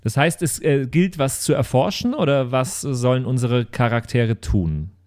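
A man's voice: mid-range voice, lively intonation, moderate pace, a little mellow. A man chatting casually as a playful, inquisitive co-host of a role-play podcast. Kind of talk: casual chat.

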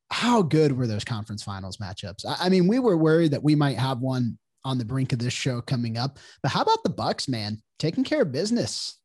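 A man's voice: medium pitch, darkish tone, slightly rough, dry recording, studio quality. A man hosting a sports podcast, talking casually with a nasal, congested voice from a cold. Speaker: podcast host.

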